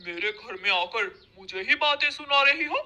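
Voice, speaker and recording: A lazy, scheming cartoon crow husband acted out by a woman, with a very bright, hard-edged tone. He grumbles and whines, always justifying himself. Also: fairly high pitch, animated, normal speed. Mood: defiant. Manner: whining, self-justifying. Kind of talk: cartoon story voice acting.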